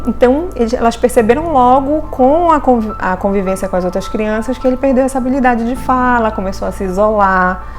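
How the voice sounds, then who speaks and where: A woman speaks earnestly in an interview, often restarting her sentences. Her voice sits a little higher than usual, and soft music plays faintly behind her. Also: fairly quick, hardly any pauses. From Brazil. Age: 40s. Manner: earnest, reflective, with many restarts and tag questions. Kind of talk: personal testimony interview.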